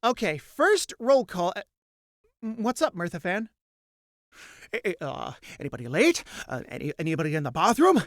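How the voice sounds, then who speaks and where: A narrator voicing a flustered female instructor who stammers and keeps getting louder as she fails to control a class. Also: mid-range voice, big pitch swings, normal speed, no echo, studio quality. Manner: stammering, frantic, rising to shouts.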